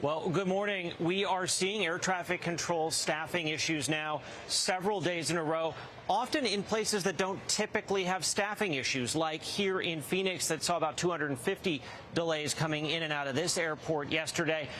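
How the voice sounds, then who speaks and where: A man's voice: fairly high pitch, moderate pace, fairly bright tone, low-quality recording. A man reporting live for broadcast news as a correspondent at an airport. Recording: background noise on live location report.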